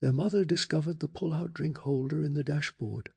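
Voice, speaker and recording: Soft spoken asmr guy reading a story, calm n gentle, really breathy w/ lots of vocal fry. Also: on the high side. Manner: calm, measured, gentle delivery. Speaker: narrator of a bedtime story channel.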